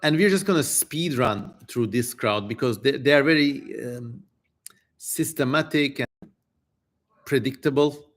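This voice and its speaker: Male streamer, unhurried delivery, average pitch, theatrical reading, casual joking asides. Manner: theatrical, jokey, informal swearing. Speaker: streamer, host.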